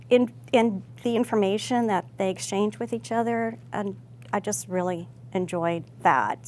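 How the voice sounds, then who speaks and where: A middle-aged woman from Chicago with an American accent, casually telling stories and correcting herself as she goes in an interview. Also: mid-range voice. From Chicago, United States. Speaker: hospital pharmacist. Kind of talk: casual conversational interview answers.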